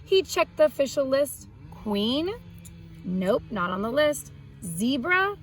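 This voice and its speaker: High-pitched woman reading aloud to children. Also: slow pace, soft background music. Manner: expressive, explains words simply for young children. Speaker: children's read-aloud host and art teacher. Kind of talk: reading a picture book aloud to children, with asides.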